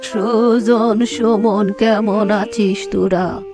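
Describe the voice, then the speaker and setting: Woman as very slow-spoken village mother. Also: medium pitch, steady tone, music in the background. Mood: welcoming, chiding. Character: welcoming, mildly chiding village mother.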